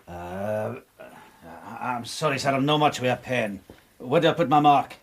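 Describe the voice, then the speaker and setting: A man's voice: medium pitch, a little slow, slight background noise, close to an everyday voice. An actor playing a huge, fierce seaman with a Scottish accent, terse and plain-spoken, in a radio drama. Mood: hesitant.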